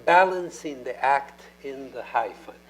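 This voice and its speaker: A man's voice: mid-range voice, lively intonation, unhurried, fairly bright tone, rough recording. A man moderating a university panel, speaking in a reflective, academic way. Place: lecture hall panel.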